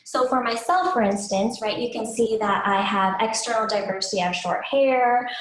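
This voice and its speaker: Soft, higher-pitched woman presenting, echoey room. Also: normal speed, a little hiss or hum. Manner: explanatory, with rhetorical questions. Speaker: webinar presenter and educator. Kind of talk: informal slide presentation to parents. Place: indoors, online webinar. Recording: roomy, echoey webinar audio.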